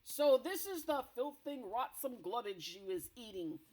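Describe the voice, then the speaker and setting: A woman reading aloud and voicing a huge, greedy male giant who bullies, booming loudly in a gruff voice. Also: lively intonation, a little slow, low-quality recording. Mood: scornful.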